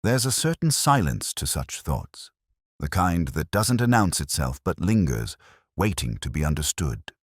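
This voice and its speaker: Very deep male detective-story narrator. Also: sing-song, breathy edge, no room sound, clean studio sound. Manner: measured, literary, reflective. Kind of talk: scripted first-person detective story narration.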